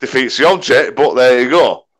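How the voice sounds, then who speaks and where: A man's voice: medium pitch, normal speed, fairly bright tone. A man, a podcast co-host who talks bluntly and swears freely in casual banter. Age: 40s.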